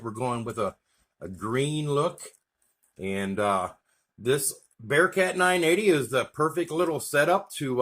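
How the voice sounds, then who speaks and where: A man with an American accent talking casually to his viewers in a folksy, chatty way, like a hobbyist vlogger. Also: mid-range voice. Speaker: YouTube vlogger, CB radio hobbyist. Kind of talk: casual vlog talk to camera.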